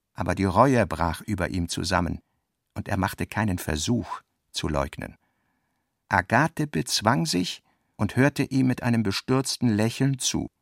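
Gravelly man reading literary prose. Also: on the low side, no room sound, clean studio sound. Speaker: literary narrator and reader. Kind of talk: scripted literary narration for a radio play.

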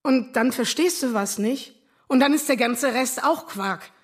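A rough, curt woman on probation in a radio drama, her voice sitting a little high. She snaps out short, coarse lines with little patience. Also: measured pace. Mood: frustrated. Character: rough-mouthed young woman on probation, blunt.

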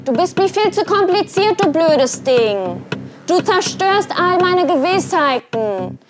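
A woman's voice: very high voice, very animated, slow pace, over music, heavily acted. A woman playing a frightened, aggressive accuser who declaims insults in a stage piece. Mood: fearful fury.

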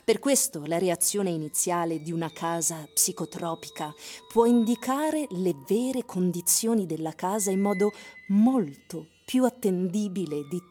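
Woman, soft-edged mellow timbre, measured literary narration, radio drama storytelling, light music behind. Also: fairly low pitch, lively intonation. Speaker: actress narrator.

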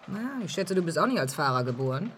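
A woman playing a sharp, teasing young student in a naturalistic radio drama, with a brisk, matter-of-fact way of talking. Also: low-pitched, sing-song, soft background music. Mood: wry, frank. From Iran. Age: young adult.

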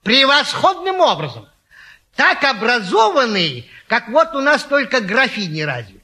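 A man's voice: extremely high pitch, huge pitch swings, unhurried, bright, forceful tone, heavily acted. An actor playing a boastful, gallant retired naval lieutenant who tells tall tales in a stage comedy, hemming and savoring as he goes. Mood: dreamy, relishing. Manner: hesitant hemming, savoring storyteller. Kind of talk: classic stage comedy acting.

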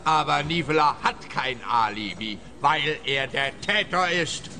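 An actor with a Swiss accent playing a grumbling, self-important, stubborn police officer in a radio play, pompous and complaining. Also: male voice, a little high, lively intonation, measured pace, pushed and bright, faint music behind, exaggerated voice. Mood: pompous, dismissive.

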